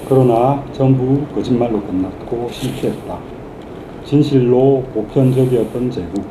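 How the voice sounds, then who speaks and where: Man, mid-pitched voice, reading group member, reading aloud, echoing room, clear background noise. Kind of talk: reading a book passage aloud. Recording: roomy, noisy room pickup.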